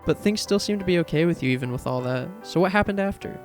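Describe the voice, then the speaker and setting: A man's voice: on the high side, expressive, quick pace, soft background music, close to an everyday voice. A man playing a concerned, curious adult sister in a dramatic reading of fan fiction.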